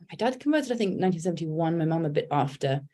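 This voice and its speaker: A woman talks about her creative work in a relaxed interview. Her voice sits low, and her speech is reflective and candid, with frequent fillers and restarts as she gathers her thoughts. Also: fairly quick. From England. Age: 40s. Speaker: guest, poet and writer.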